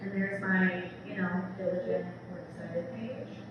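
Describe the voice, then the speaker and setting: A woman's voice: on the low side, steady tone, unhurried, lo-fi sound. A woman professor giving an informal, enthusiastic book talk to an audience, chatty, often adding "like" and "right?". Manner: conversational, peppered with "like" and "right?", enthusiastic. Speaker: speaker, English professor. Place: event room with an audience. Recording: very echoey hall, distant mic, noisy.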